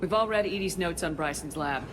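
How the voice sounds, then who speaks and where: A woman's voice: fairly low pitch, a little fast, noisy background, close to an everyday voice. A woman playing an assertive, businesslike military commander in a scripted video game. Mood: determined. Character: no-nonsense female military commander. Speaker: game protagonist. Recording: loud music bed. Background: loud game music.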